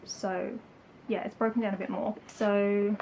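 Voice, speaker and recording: A young woman chats casually to her camera about her studies, her talk full of likes and ums. Her voice sits at an ordinary middle pitch, recorded at home with a faint hiss underneath. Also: little pitch movement, a little slow, gravelly, darkish tone, poor audio. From England. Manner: chatty, lots of likes and ums, light laughs. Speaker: language-learning YouTuber.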